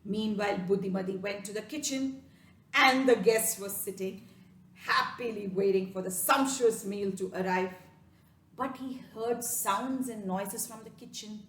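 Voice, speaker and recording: A woman with an Indian accent telling a folktale expressively, with dramatic pauses and emphatic repetition, addressing listeners directly. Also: mid-range voice, fairly bright tone. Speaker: storyteller. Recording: echoey room sound.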